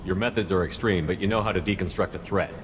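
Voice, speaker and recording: An actor playing a steady human military commander in a video game, direct and plain-spoken. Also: male voice, low voice, fast, background noise, natural voice. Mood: firm, composed. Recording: music behind.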